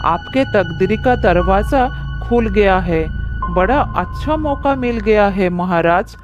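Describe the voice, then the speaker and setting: Woman acting a slow-talking, pleading, wily cartoon donkey. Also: mid-range voice, lively intonation, highs cut off. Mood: coaxing, flattering. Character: wily, pleading donkey flattering its would-be killer. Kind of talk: cartoon moral story dialogue. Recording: music under the voice.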